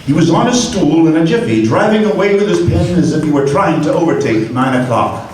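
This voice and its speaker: A man's voice: fairly high pitch, animated, fairly quick, a little mellow, a little hiss or hum. A man narrating a classic story and addressing the audience as producer of a radio broadcast. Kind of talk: scripted narration. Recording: echoey room, far from the mic.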